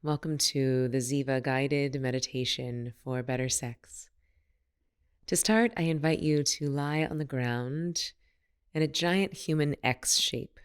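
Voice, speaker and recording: A woman leading a guided meditation as a teacher, speaking in a soothing, unhurried and gently instructive manner. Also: a bass voice, clear, resonant tone.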